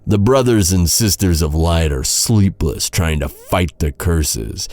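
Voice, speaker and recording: An actor playing a bitter, sardonic knight who taunts a royal captive with mock courtesy in a dark fantasy audio roleplay. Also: male voice, low voice, no echo, heavily acted. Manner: sardonic, mock-courteous, brooding, with sighs and pauses.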